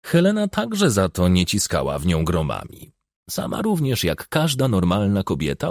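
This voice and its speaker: Audiobook narrator, man, low gravelly voice, measured storytelling of a crime novel, close and dry recording. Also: darkish tone, no room sound. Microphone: close studio microphone.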